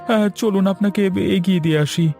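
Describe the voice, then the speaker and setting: A man's voice: high-pitched, brisk, vocal fry, dark tone, soft background music. A man voicing a timid, deferential and helpful village man in a cartoon, with hesitant, stammering speech. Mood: polite, nervous. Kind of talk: cartoon voice acting.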